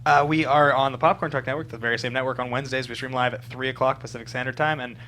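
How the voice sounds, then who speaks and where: A young man guests on a casual panel show. His delivery is quick and his tone bright and forward, on a headset mic with noticeable hum behind it. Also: medium pitch, fairly even intonation. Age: young adult. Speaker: podcast guest. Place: podcast studio couch.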